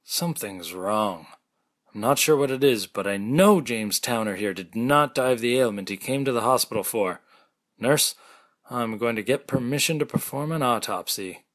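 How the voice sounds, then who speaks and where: A man playing an earnest, suspicious hospital doctor in a radio mystery, with a dramatic, deliberate delivery. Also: mid-range voice, expressive, fairly bright tone, close to an everyday voice. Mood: suspicious, resolute. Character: earnest, suspicious male hospital doctor sure of his judgment. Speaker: actor in an amateur audio drama. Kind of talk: remake of classic radio mystery acting.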